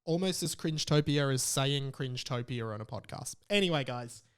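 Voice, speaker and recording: A man's voice: mid-range voice, normal speed, clean tone. An Australian man hosting a solo podcast in a casual, rambling and informal way, with exasperated asides. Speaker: podcast host.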